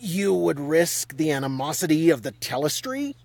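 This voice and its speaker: A man's voice: medium pitch, lively intonation, a little slow, dry recording. A curt, contemptuous envoy, as the narrator puts him on, each line clipped and cold. He is impatient to be gone. The voice stays close and dry. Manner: clipped and icy.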